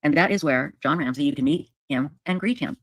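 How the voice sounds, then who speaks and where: A woman's voice: low-pitched, a little fast, no echo. Rambling woman, dry close sound. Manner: rambling, filler-heavy, apologetic. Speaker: guest. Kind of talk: casual storytelling on a livestream.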